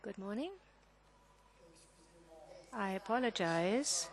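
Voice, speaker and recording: Woman interpreter, mid pitch, slow and halting delivery, restarts mid-word. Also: expressive. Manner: halting, frequent restarts and self-corrections. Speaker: conference interpreter. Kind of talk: simultaneous interpretation of a panel talk.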